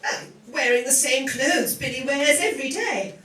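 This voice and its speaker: A woman's voice: high voice, moderate pace, pushed and bright. A woman acting an indignant, flustered church helper in an amateur radio play. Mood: flustered, urgent. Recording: roomy, distant, noisy live recording.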